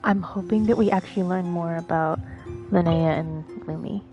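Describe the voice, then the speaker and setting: A woman's voice: lowish voice, fairly even intonation, moderate pace, dark tone, lo-fi sound. Woman streamer with game music behind. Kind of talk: casual live gameplay commentary.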